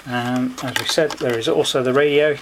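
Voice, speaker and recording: A man presents a hands-on product demonstration in a medium-pitched voice. He goes step by step in short, unhurried phrases. The home recording is plainly noisy, with clicks. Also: steady tone. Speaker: product reviewer.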